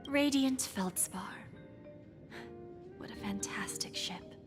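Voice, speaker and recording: An actress voicing a suave, scheming woman pawnbroker, breathy and half-whispered, smooth and teasing, in video game voice acting. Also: fairly high pitch, takes it slowly. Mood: sly. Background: game music.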